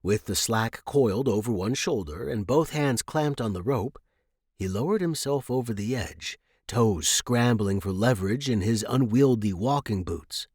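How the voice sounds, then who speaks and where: A man's voice: lowish voice, expressive, a little slow, a little mellow, husky, no room sound, studio quality. A man narrating a scripted adventure story as a reader of fiction.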